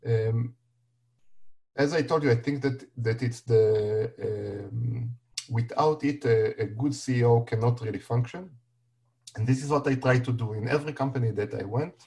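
Israeli accented guy, hebrew native, mellow voice, lots of um and uh, halting restarts. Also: medium pitch, creaky. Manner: halting, many fillers and restarts. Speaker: guest. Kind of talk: casual interview.

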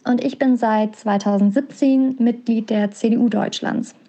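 This is a woman in her twenties speaking on a voice message. She sounds composed and formal, with a slightly raised pitch. The sound is dull and lo-fi. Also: fairly even intonation, normal speed, warm tone. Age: 20s. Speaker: party youth representative. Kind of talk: recorded voice message.

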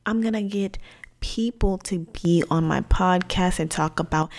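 A woman in her twenties hosting her own faith podcast, talking in a chatty, animated way full of slang. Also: lowish voice, a little slow. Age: 20s. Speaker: podcast host. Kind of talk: casual faith podcast talk.

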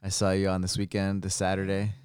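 A man's voice: low voice, completely flat delivery, quick pace, darkish tone, clean tone, no echo. A man hosting a casual interview, enthusiastic, restarting his questions with lots of fillers. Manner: enthusiastic, repeats himself, many fillers. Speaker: interview host.

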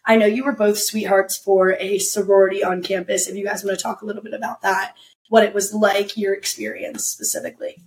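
A woman's voice: medium pitch, level tone, fairly quick, rough recording. A woman in her twenties hosting a casual interview with friends, asking questions in a friendly, bubbly way. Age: 20s. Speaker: host.

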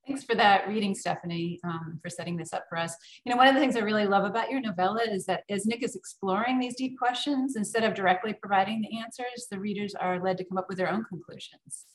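A woman's voice: mid-range voice, fast. A woman interviewer who asks questions and thanks her guest. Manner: appreciative, asking questions. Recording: echoey room.

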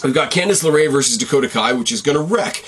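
A man hosting a wrestling show, talking in a casual, opinionated way while losing his voice and sounding a bit raspy. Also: medium pitch, lively intonation, quick pace, fairly bright tone. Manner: opinionated, swearing, rambling. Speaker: wrestling podcast host. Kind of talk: casual commentary.

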